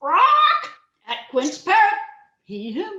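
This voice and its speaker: A performer voicing a squawking pet parrot with drawn-out mimicking cries in a comedy table read. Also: extremely high pitch, wildly swooping pitch, sharp tone, echoey room, dull audio, exaggerated voice. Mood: squawky.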